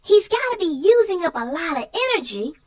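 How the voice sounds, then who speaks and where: A woman's voice: very high voice, very animated, fairly quick. A woman chatting casually and emphatically as a guest co-host on a children's book show. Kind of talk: casual chat about a picture book.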